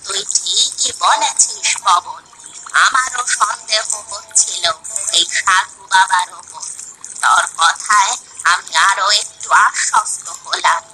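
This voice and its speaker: A woman playing a kindly, inquisitive old village granny in a cartoon story, speaking with deliberate concern. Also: medium pitch, sharp tone, soft background music, rough recording. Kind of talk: Bengali cartoon story dialogue.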